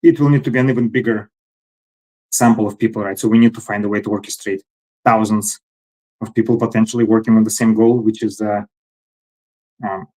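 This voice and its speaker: A man's voice: on the low side, fairly even intonation, fairly quick, pauses often, a little mellow, rough recording. A man hosting a conversation, working through an argument with many hesitations and repeated words. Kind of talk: conversational discussion.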